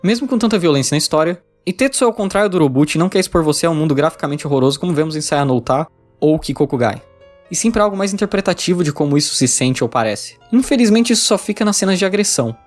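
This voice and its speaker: A man's voice: fairly high pitch, expressive, rapid-fire. A Brazilian man narrating a scripted review as a video essayist, informal and opinionated. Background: background music.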